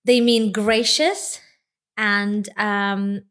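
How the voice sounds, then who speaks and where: A woman's voice: medium pitch, steady tone, drawn-out words, a bit bright, smooth. A pregnant woman from Lithuania, a podcast guest chatting casually, giggling and trailing off into fillers. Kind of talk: casual chat. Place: indoor podcast set with plants.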